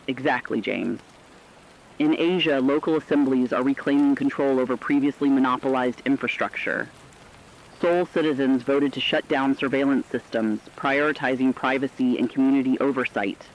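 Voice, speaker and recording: A man reading a scripted, dramatized radio news report as an anchor in a formal, measured way. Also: mid-range voice. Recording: slightly dull, band-limited radio sound.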